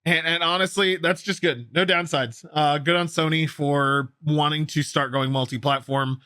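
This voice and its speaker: A man's voice: a little high, steady tone, normal speed, sharp tone, no echo, clean studio sound. A man hosting a gaming news show, commenting casually and enthusiastically on stories with a co-host. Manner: enthusiastic, opinionated, conversational.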